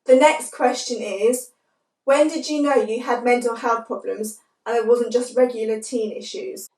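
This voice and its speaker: Young woman, croaky nasal voice from a cold, fairly high-pitched, soft rambling chat, echoey room, mic set back. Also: fairly even intonation, moderate pace. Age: young adult. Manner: soft-spoken, rambling, lots of "like". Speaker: vlogger. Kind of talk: casual to-camera chat. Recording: roomy echo, mic at a distance.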